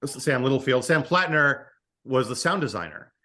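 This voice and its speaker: A man's voice: medium pitch, expressive, normal speed, pushed and bright. A man speaking as a writer guest in a casual interview, hesitant and often repeating words as he forms his thoughts. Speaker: podcast guest, screenwriter and audio drama writer.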